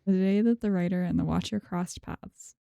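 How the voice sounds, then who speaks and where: A woman's voice: on the low side, steady tone, normal speed, gravelly, mellow, clean tone, no echo, studio quality. A woman streamer giving casual, giggly, muttered live commentary on a video game. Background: game music.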